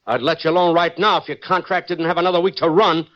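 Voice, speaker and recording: An actor playing a brusque, fed-up nightclub manager in an old radio drama, stern and emphatic. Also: male voice, on the high side, fairly even intonation, fast, a bit bright, natural voice. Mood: indignant. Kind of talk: 1940s-50s radio suspense acting. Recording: dull old radio recording.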